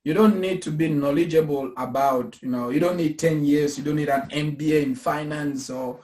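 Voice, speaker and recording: A man's voice: on the high side, fairly even intonation, moderate pace, darkish tone, slight background noise. A man in his thirties from Cameroon, talking earnestly about investing and pensions, repeating his points with preacher-like emphasis. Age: 30s. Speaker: investment company founder. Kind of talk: casual pitch talk. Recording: echoey room.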